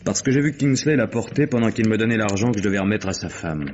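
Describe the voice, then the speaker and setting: An actor playing a cool, sardonic private detective in a French radio crime drama, speaking in a dry, measured, needling way. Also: male voice, on the low side, darkish tone, natural voice. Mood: composed, matter-of-fact. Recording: dull, faintly noisy old radio recording.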